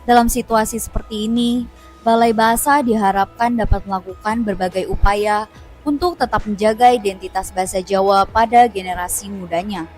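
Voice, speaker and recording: Young woman, documentary narrator, scripted reading, medium pitch, soft music behind. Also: fairly even intonation, moderate pace. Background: faint music.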